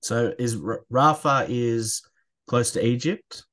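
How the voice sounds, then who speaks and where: A man hosting a podcast, soft-spoken and gentle in a casual interview. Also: mid-range voice, takes it slowly, dry recording, studio quality. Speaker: podcast host.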